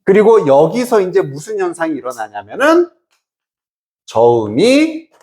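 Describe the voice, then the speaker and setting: A man's voice: sits high, big pitch swings, unhurried, a little mellow. A man teaching English in an explanatory lecture, emphatic and informal, often asking questions and answering them himself. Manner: asks and answers own questions, checking understanding. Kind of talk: explanatory exam-prep lecture.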